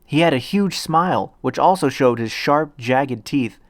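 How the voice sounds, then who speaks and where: A man's voice: mid-range voice, lively intonation, unhurried, no echo. A man reading scripted fan fiction narration as a storyteller.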